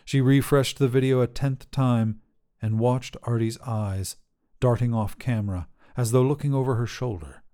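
A man narrates scripted fiction for a podcast. His voice sits at a middle pitch and has a mellow, relaxed tone. He reads as a storyteller and host. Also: moderate pace.

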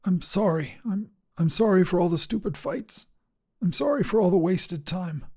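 A man voicing a dying father in a narrated story, weak and loving, with a labored, raspy, wheezing voice. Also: sits high, normal speed, darkish tone, voice pushed up. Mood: regretful.

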